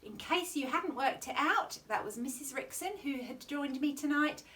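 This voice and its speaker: A woman's voice: sits high, expressive, normal speed, fairly bright tone, distant mic. A woman reading a children's bedtime story theatrically, stretching words with exaggerated emphasis. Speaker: storytime reader at a primary school.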